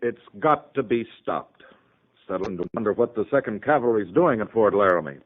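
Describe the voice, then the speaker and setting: Stern army major with a swooping delivery. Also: male voice, on the low side, lively intonation, moderate pace. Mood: grave. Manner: authoritative, clipped. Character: stern, by-the-book army major, commanding veteran officer. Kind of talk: 1950s radio western acting. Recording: narrow, muffled 1950s radio broadcast.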